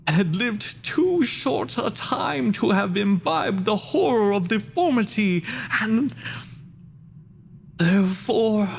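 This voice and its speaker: A wretched, vengeful monster delivers a theatrical monologue. The voice is high for a man and creaks with gravel, and each phrase comes slowly, set apart by heavy pauses. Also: heavily acted. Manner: slow, deliberate, literary delivery with heavy pauses. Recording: faint background noise, home video-call performance.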